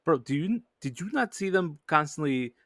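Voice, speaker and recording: A man's voice: on the high side, animated, normal speed, a bit bright, dry recording, studio quality. A man in casual conversation, talking in slangy, exasperated retorts. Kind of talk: casual chat.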